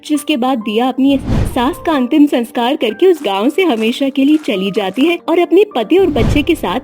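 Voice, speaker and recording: A woman's voice: high-pitched, lively intonation, normal speed, a little mellow, faint music behind. A woman reading scripted narration as a storyteller.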